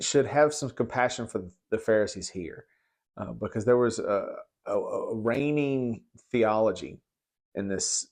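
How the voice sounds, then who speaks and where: Low-voiced man teaching the Bible. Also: a little mellow. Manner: halting, conversational, repeats words. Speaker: preacher giving Bible commentary.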